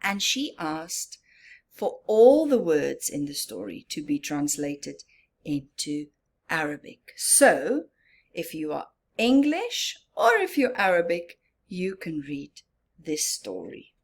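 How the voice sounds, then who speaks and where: A woman hosting a children's story time, reading aloud and pausing to explain things simply and ask young listeners questions. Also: low-pitched, very animated.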